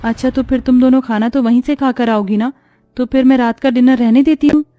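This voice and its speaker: A woman's voice: high-pitched, steady tone, brisk, dry recording, highs cut off, natural voice. A woman voicing a meek, overworked young stepdaughter in a melodramatic storybook tale, timid and pleading.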